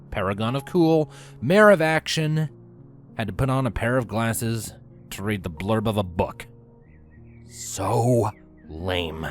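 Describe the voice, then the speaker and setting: A man reads a story aloud as an expressive narrator, with a mid-pitched voice. His delivery is animated and theatrical, leaning into key words for emphasis. Faint music plays behind him. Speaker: audiobook-style narrator of fan fiction.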